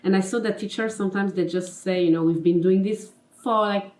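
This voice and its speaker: A woman's voice: mid-range voice, steady tone, fairly quick, a little mellow, roomy sound. A woman from Geneva with a French accent, a confidence and life coach chatting casually as an interview guest, laughing often and filling with "you know" and "like". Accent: French-speaking Swiss. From Geneva, Switzerland.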